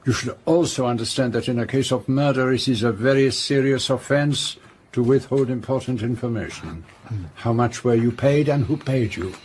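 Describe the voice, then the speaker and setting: A man playing a shrewd, courteous in a radio drama, speaking formal, precise in a measured way. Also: mid-range voice, darkish tone, a little hiss or hum, close to an everyday voice. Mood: probing, stern. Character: shrewd, courteous male detective, calmly probing.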